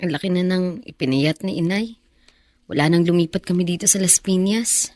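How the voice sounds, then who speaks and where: A woman playing a gentle, polite and earnest young daughter who narrates her hard life in a radio drama. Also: lowish voice, normal speed, no echo, lightly acted. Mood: worried. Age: young adult.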